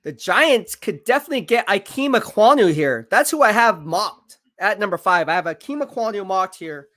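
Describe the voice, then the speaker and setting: A man live-streaming football draft commentary, excitable and hyping each pick, his voice going hoarse. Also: high-pitched, normal speed, no room sound, clean studio sound. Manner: excitable, hypes picks, reads chat aloud. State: losing his voice.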